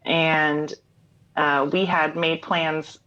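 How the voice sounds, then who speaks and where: Low-voiced chatty woman, lo-fi call. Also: level tone, extremely slow, fairly bright tone. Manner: chatty, rambling with fillers and laughs. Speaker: interview guest, singer-songwriter. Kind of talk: casual interview chat.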